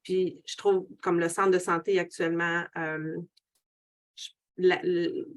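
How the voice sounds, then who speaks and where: A woman, an occupational therapist answering a panel question in halting speech. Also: lowish voice, level tone. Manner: halting, repeats words.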